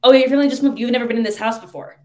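Higher-pitched woman chatting casually. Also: steady tone, very fast, warm tone. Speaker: podcast guest. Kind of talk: casual chat about video games.

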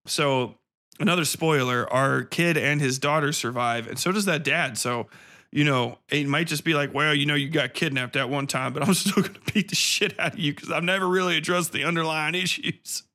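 A man's voice: fairly high pitch, moderate pace, sharp tone. A man hosting a podcast, giving a casual film review monologue in a blunt, sardonic, profane way.

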